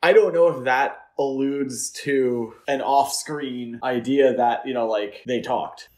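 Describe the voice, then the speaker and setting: A man's voice: mid-range voice, slow pace, a little mellow. A man co-hosting a podcast, chatting about films in an easygoing, jokey and conversational style. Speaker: podcast co-host. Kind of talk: casual chat, film discussion.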